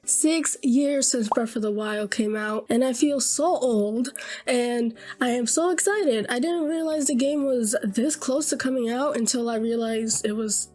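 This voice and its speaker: A woman talking casually to her webcam, bubbly and excitable, her voice slightly hoarse after being ill. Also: on the high side, a little slow. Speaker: gaming YouTuber.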